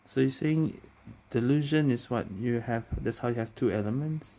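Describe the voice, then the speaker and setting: Very low-voiced woman reacting to a game. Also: normal speed, mellow, a little hiss or hum. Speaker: game streamer. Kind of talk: casual gameplay reactions.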